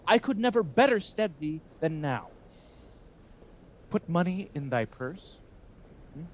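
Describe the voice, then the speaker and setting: A man's voice: on the high side, very animated, slow pace, slight background noise. A man playing a scheming, resentful Venetian ensign in a Shakespeare radio play, persuasive and theatrical. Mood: emphatic persuasion.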